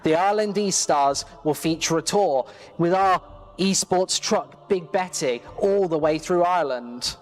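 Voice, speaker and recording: A man's voice: on the high side, measured pace. A man reading a scripted promotional voice-over. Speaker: promo narrator.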